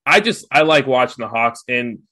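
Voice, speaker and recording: A man's voice: mid-range voice, expressive, moderate pace, pushed and bright. A young man, a guest on a sports podcast, chatting casually with many fillers and restarts. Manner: filler-heavy, lots of "like".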